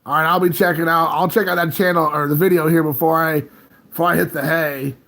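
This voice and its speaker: Laid-back man with a gravelly voice. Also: on the high side, fairly even intonation, fast, fairly bright tone. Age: 30s. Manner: rambling, laid-back, chuckling often. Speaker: livestream host. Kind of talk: casual live-stream chat with viewers. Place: home. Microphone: phone.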